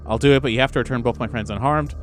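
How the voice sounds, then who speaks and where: A man's voice: medium pitch, rapid-fire. A man playing a tabletop role-playing game, speaking informally at the table and sometimes reading game text aloud. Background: music.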